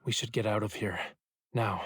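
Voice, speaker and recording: Low-voiced, teasing young man. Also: fairly even intonation, normal speed, fairly bright tone, slightly rough, no echo. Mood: curt, evasive. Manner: casual, playfully teasing. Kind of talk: voice acting in a romance game. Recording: music behind the voice.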